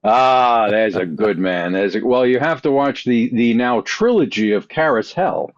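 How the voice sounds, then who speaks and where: A man's voice: medium pitch, lively intonation, a little slow. A man chatting casually as a podcast guest, recorded with slightly muffled sound. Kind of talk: casual chat.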